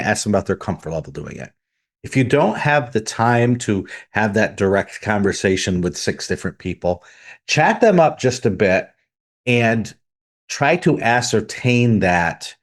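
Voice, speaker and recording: A man co-hosting a tabletop gaming podcast, methodically laying out his points one by one in casual discussion. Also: lowish voice. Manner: methodical, lays out numbered points. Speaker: podcast co-host.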